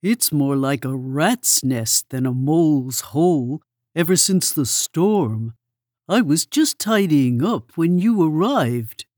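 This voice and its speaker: A woman's voice: low voice, expressive, takes it slowly, a little mellow, no echo, studio quality, full character voice. A woman voicing a kindly, motherly female mole who soothes a lost friend in a storybook. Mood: soothing. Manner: soothing, with a French greeting. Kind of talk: storybook read-aloud.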